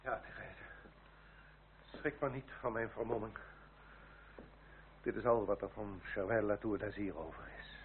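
An actor in a radio drama playing a bitter, haughty French marquis, formal and sardonic. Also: male voice, fairly low pitch, measured pace, pauses often, close to an everyday voice. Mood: urgent. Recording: muffled old radio play with faint hiss.

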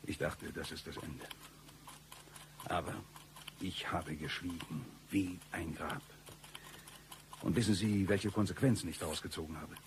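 An actor playing a boastful, menacing mercenary and former lecturer in a satirical radio play, with a pompous, theatrical delivery. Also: male voice, low voice, unhurried, gravelly, pauses often, noisy background. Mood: wistful, grandiose.

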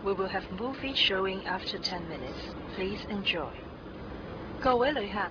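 Actress as a formal airline announcer, noisy cabin. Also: female voice, mid-range voice, expressive, moderate pace, close to an everyday voice. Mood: courteous. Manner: formal, scripted. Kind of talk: in-flight announcement in a radio drama. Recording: muffled radio recording, loud aircraft cabin noise.

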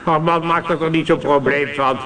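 Man playing a baffled, high-voiced police chief. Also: steady tone, moderate pace, fairly bright tone, close to an everyday voice. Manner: blustering, echoes words in bewilderment. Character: baffled, blustering American police chief, slow to understand. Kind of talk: radio comedy acting.

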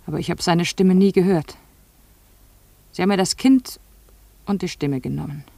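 A wounded, longing lady in a literary radio play, with a lowish, husky, breathy voice. She speaks intimately in poetic questions, in a very dry, close sound. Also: moderate pace, mellow, no room sound. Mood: grieving. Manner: poetic, questioning, sliding into whispers. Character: wounded, yearning rich woman confiding in her boatman.

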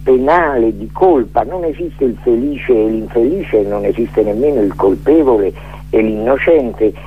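A man's voice: mid-range voice, expressive, measured pace, slightly rough. An older man speaking as a radio guest in a digressive philosophical monologue, hesitating as he searches for words. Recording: muffled, tinny phone-like radio line.

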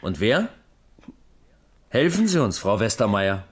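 An actor playing a calm, formal police lieutenant who questions persistently in a German radio crime drama. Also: male voice, on the low side, breathy edge, full character voice. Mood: probing, insistent. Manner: measured, probing, formal questioning.

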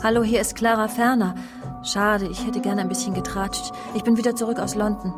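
A woman's voice: mid-range voice, steady tone, a little fast, over music, close to an everyday voice. An actress playing a vain, self-assured woman journalist in a radio crime drama, confiding and imperious as she justifies herself. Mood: composed, breezy.

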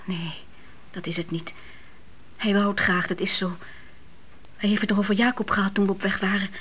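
An actress playing a weary, grieving widowed mother in a radio drama, halting and breathy. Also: mid-range voice, fairly even intonation, a bit bright, lightly acted. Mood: mournful. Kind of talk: intimate radio drama dialogue. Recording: hissy, muffled old radio recording.

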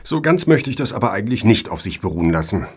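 An actor playing a self-assured, unruffled foundation official in a satirical radio play, dry and needling in manner. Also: male voice, fairly low pitch, big pitch swings, fast, a little hiss or hum. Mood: brisk. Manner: dry, matter-of-fact, needling.

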